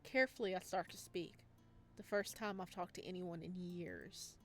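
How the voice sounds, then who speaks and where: A woman's voice: on the low side, normal speed, faint music behind, natural voice. A woman voicing an anxious, lonely young male ghost who narrates a visual novel in a hesitant, introspective way. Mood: anxious, subdued. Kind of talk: visual novel read-aloud, first-person narration.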